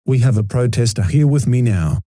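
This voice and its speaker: A man's voice: mid-range voice, expressive, fairly quick, dark tone, no room sound, studio quality, lightly acted. A man playing a serious political analyst, giving a scripted live news report in a formal, matter-of-fact way.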